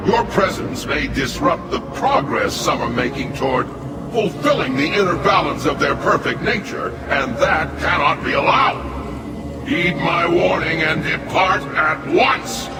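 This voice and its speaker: An actor playing a rigid, menacing prison warden, declamatory and officious, in a scripted video game. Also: male voice, on the high side, lively intonation, measured pace, roomy sound. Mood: stern warning. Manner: declamatory, officious, sharply emphatic. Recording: loud music behind the voice.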